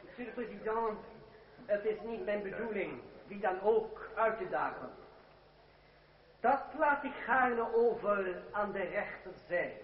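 High-voiced, defiant orator in echoing hall. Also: male voice, expressive, a little slow, far from the mic. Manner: rhetorical, sardonic, formal. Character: defiant, eloquent young revolutionary deputy addressing an assembly. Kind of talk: old radio drama oratory. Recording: dull old radio recording, echoey hall, crowd. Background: noisy assembly crowd.

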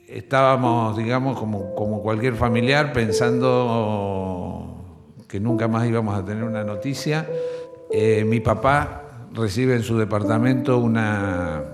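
Argentine male interviewee, lowish voice, hesitant testimony, long reverb and loud music. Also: unhurried. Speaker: interviewed relative giving testimony. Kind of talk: spontaneous testimony.

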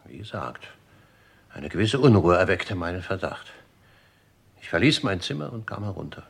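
An actor playing an older, formal manservant in a German radio crime drama, deferential and deliberate, with a husky, gravelly voice. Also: male voice, low-pitched, big pitch swings, heavily acted. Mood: shaken, deliberate. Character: dutiful, formal old-school manservant of a murdered gentleman.

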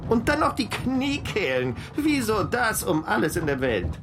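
A sardonic dead man in a radio drama, prickly and indignant. The intonation is highly animated, with clear noise and vehicle sound behind it. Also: moderate pace. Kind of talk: German radio play dialogue.

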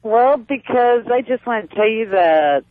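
A woman in her thirties from West Virginia with a deep voice, calling a radio show over a tinny phone line. Her pitch moves in lively swings, and the line sounds dry and boxed in. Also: measured pace, no echo. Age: 30s. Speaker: caller.

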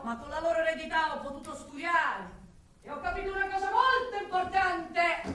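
A woman's voice: very high-pitched, a little hiss or hum, exaggerated voice. An actress playing an unsettling, darkly ironic man with murderous thoughts, in a volatile theatrical radio monologue. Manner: volatile, swinging from murmurs to shouts, drawn-out words. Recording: very echoey, distant, music behind.

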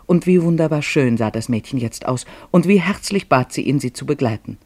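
Husky male radio-play narrator, very dry sound. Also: on the high side, expressive, a little fast, a little mellow, dry recording. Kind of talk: scripted literary narration for radio drama.

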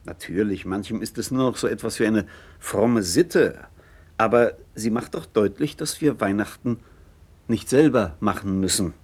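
A middle-aged father in a late-sixties radio drama. His voice is fairly low and husky, and he speaks in a measured, reflective way, conciliatory and thoughtful. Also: a little mellow. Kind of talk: late 1960s German radio play acting, narration and dialogue.

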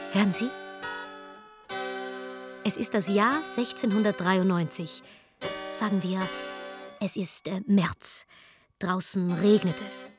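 Wry woman narrator over music. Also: mid-range voice. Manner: wry, sardonic. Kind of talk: scripted documentary narration for radio.

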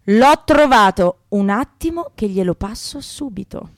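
A woman's voice: medium pitch, animated, a little slow. A woman playing a gushing, helpful receptionist among the damned in a radio comedy sketch. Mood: helpful, chatty. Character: chatty, helpful female receptionist of the damned lustful.

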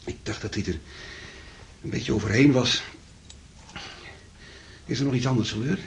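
A man's voice: mid-range voice, normal speed, vocal fry, a little hiss or hum, natural voice. An actor playing a flustered, uneasy businessman husband in a radio drama, hesitant in his delivery. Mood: shaken, probing.

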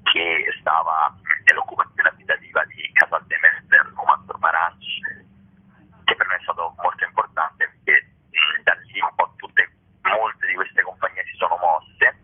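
Deep-voiced, husky man on a muffled line. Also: level tone, normal speed. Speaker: theatre festival organiser and presenter. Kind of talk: informal promotional interview. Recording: muffled lo-fi phone-like radio line.

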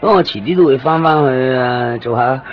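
A man's voice: mid-range voice, brisk, muffled recording, faint music behind, close to an everyday voice. An actor playing a talkative, jokey Hong Kong man who lives in Japan and picks up a traveller, in a 1990s radio drama. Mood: chatty. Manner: talkative, jumps between loud excitement and muttering.

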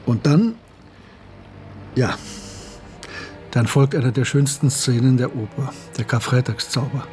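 An actor playing a reflective, betrayed older man in a radio drama, telling his story in a measured, literary way. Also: mid-range voice, natural voice. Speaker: narrator and lead character. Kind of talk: German radio drama monologue and dialogue.

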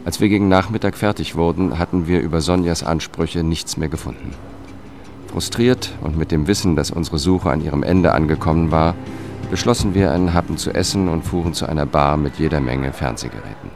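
An actor playing a dogged, tense male investigator who tells his own thriller in a sober, measured way in a radio drama. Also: a bass voice, creaky, background noise. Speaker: first-person narrator and lead character. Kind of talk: radio drama narration and dialogue. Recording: music under the voice.

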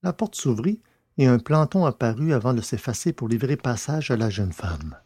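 A man's voice: medium pitch, lively intonation, normal speed, mellow. A man reading scripted literary science fiction narration as the storyteller. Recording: clearly noisy background with hum.

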